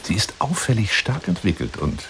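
A man's voice: fairly low pitch, big pitch swings, moderate pace, creaky, background noise. An actor playing an eccentric, obsessive lion hunter who woos a woman by telephone in an effusive, insinuating radio play monologue. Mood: insinuating, coaxing. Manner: effusive, insinuating, swinging between whispers and exclamations.

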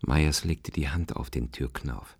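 A man narrating a short story in a radio play, in a sober, measured and restrained way. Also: extremely low pitch, gravelly, slightly rough, heavily acted.

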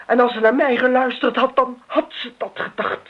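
Radio play role: actress as resentful, scolding daughter, high husky voice, muffled recording. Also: female voice, steady tone, moderate pace, very noisy, close to an everyday voice. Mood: bitter, accusing. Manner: reproachful, scolding. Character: bitter, accusing grown daughter of a dead mother. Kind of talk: radio drama acting.

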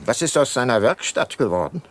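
A man's voice: mid-range voice, very animated, brisk. An actor playing a sombre, reflective older detective who narrates his own radio drama. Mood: brooding, reflective. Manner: reflective, sober. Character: brooding, sombre aging private detective narrator. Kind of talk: first-person radio drama narration and dialogue.

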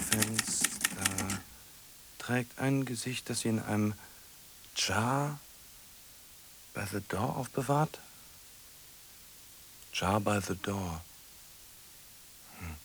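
An actor in a radio play playing a lonely, brooding man who mutters haltingly to himself in fragments. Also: lowish voice, fairly bright tone, slight background noise, heavily acted, rough recording. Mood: absorbed muttering. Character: lonely, brooding man muddling through translating a pop song.

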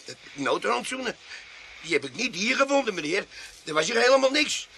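Whining, gravelly, shifty tramp. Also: male voice, high-pitched, big pitch swings, fairly quick, sharp tone, heavily acted. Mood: nervous, evasive. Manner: stammering, pleading, self-pitying. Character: shifty, whining male tramp, boastful self-styled war veteran. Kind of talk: radio drama acting. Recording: faintly noisy radio play recording.

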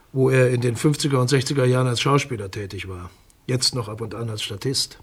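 A man's voice: medium pitch, husky. An actor playing a sober, guarded male public prosecutor in a naturalistic radio crime drama, speaking in formal, precise, matter-of-fact officialese.

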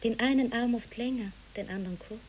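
A woman's voice: a little high, a little fast, darkish tone, slight background noise, close to an everyday voice, low-quality recording. A woman playing an imaginative, matter-of-fact child in a poetic radio play, earnest and plain-spoken. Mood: playful, earnest.

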